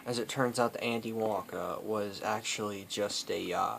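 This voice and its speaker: A man telling an improvised comic story in a halting, rambling way, as host among friends. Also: fairly low pitch, fairly even intonation, creaky, fairly bright tone. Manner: rambling, halting, often breaking into laughter. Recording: noisy home recording with clicks.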